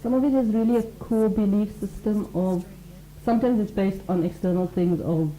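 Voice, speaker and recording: Mellow, earnest woman teaching mindfulness on radio. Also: mid-range voice, moderate pace, roomy sound, a little hiss or hum, low-quality recording. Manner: earnest, explains with examples and acted-out quotes. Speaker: mindfulness teacher, show regular. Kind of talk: conversational radio talk.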